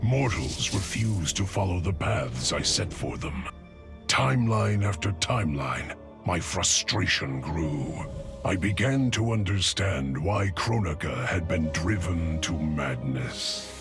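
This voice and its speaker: Tired brooding immortal, very deep and slow. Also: male voice, heavily acted. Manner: weary, brooding. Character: weary immortal male warrior longing for rest. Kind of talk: game cutscene narration.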